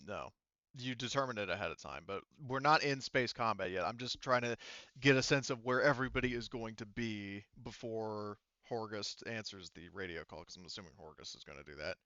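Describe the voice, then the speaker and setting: A man's voice: lowish voice, fairly quick, bright, forceful tone, no room sound, muffled recording. A man explaining tabletop game rules as game master, casually rambling and thinking aloud.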